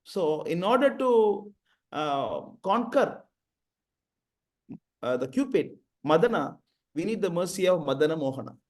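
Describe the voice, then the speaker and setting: Gentle encouraging man giving advice. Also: high-pitched, very animated, unhurried, pauses often. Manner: gentle, encouraging, repeats key points. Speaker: devotee counselor leading the session. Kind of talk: casual spiritual advice on a call.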